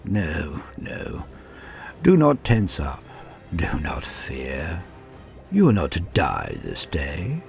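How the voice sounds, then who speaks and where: A man's voice: extremely low pitch, wildly swooping pitch, vocal fry, a little mellow, faint music behind, exaggerated voice. A man voicing a huge, sinister, condescending mad scientist in a horror story, menacing and grandiose as he savors each phrase. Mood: falsely soothing. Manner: menacing, pompous, slow and savoring. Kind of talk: dramatic horror storybook acting.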